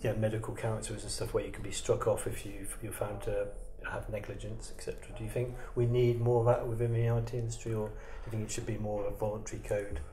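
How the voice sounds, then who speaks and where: A man's voice: on the low side, flat delivery, fast talker, few pauses, mellow, roomy sound, slight background noise. A man interviewing a guest, building long questions full of "um" and "I mean". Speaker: interviewer, editor for an IT institute.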